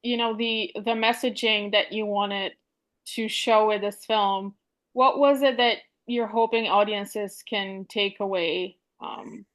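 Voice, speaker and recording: A woman's voice: medium pitch, steady tone, slow pace, a bit bright. A woman from Bulgaria interviewing a filmmaker in a friendly, chatty way with many "like"s. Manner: friendly, lots of "like" and "you know". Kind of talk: casual interview talk.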